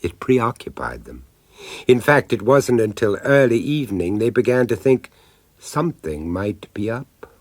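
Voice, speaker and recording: A man's voice: fairly low pitch, sing-song, a little slow, darkish tone, dry recording. A man giving a scripted, wry narration for a radio drama.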